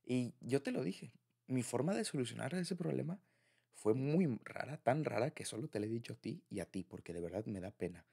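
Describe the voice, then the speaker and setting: Casting: young Mexican man for intimate boyfriend audio, mid-pitched voice with rasp, confiding, casual slang. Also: animated, brisk, mellow. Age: young adult. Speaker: audio roleplay creator speaking to a partner. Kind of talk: intimate conversational ASMR boyfriend roleplay.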